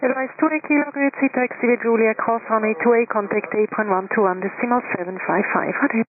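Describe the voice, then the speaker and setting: A woman working as an air traffic controller, giving brisk clearances over aviation radio. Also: fairly high pitch. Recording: tinny, narrow-band air traffic radio with static.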